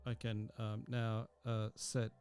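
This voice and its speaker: A man teaching a music software tutorial, thinking aloud step by step with frequent ums. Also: lowish voice, monotone, takes it slowly. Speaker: music technology instructor. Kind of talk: screen-recorded software tutorial.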